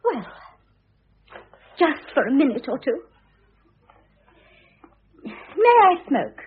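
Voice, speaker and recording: High-pitched, huffy British society wife. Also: female voice, wildly swooping pitch, slow, heavily acted. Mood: airy, casual. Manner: haughty, huffy, affected airs. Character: indignant, affronted younger society wife, airy and petulant. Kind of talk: old-fashioned radio drama acting. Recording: thin phone-like vintage radio sound.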